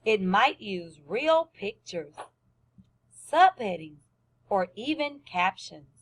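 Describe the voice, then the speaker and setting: A woman's voice: mid-range voice, very animated, slow pace, slight background noise. A woman teacher giving a lesson to young children, explaining simply, repeating points and asking questions. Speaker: first-grade teacher.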